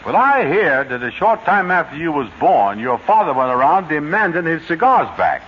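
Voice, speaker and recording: A dry, wisecracking friend in an old radio comedy, his voice high and swooping around as he teases. The sound is muffled, with faint background noise. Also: sing-song, moderate pace. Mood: teasing. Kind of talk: 1940s radio comedy acting. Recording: dull old radio broadcast.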